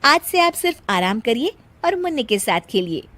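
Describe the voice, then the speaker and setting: A woman voicing a harried young working mother and daughter-in-law in an animated moral story. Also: a little high, moderate pace. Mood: gentle.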